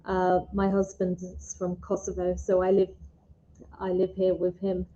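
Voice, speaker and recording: A woman's voice: on the low side, monotone, unhurried, dark tone. A British woman tutoring English in a casual online chat, speaking in simple, encouraging, teacherly phrases over a muffled call. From Britain. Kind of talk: casual one-to-one lesson chat. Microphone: video call.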